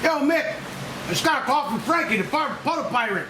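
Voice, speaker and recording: Easygoing blue-collar man in his fifties read by a man, raised pitch, noisy room. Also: animated, a little fast, distant mic. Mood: chummy. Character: casual, easygoing electrician buddy in fifties. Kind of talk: movie script table read. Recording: echoey room, clear noise.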